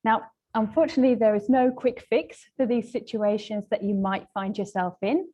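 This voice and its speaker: Mellow-voiced woman presenting a webinar. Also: fairly high pitch, moderate pace, highs cut off. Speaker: webinar presenter, language trainer. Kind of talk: webinar lesson, semi-scripted presentation.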